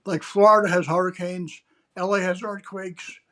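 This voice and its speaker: A man's voice: high voice, steady tone, normal speed, sharp tone. A man co-hosting a casual livestream chat, talking in a chatty way and often tagging his sentences with "right?". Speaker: co-host.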